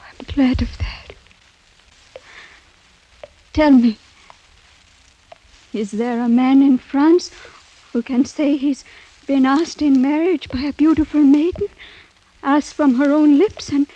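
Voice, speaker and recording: A young French noblewoman, earnest, proud and full of anguish. Her voice is high and soft-toned, with formal, pleading melodrama in the manner of golden-age radio acting. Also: slow pace, long pauses, lo-fi sound. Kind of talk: 1940s-50s American radio drama acting.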